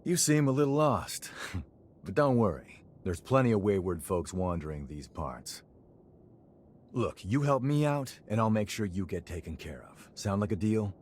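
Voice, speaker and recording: Brash young male game hero: lowish, very animated, casual and cocky, faint noise behind. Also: moderate pace. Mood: friendly, cocky. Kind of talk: video game cutscene acting.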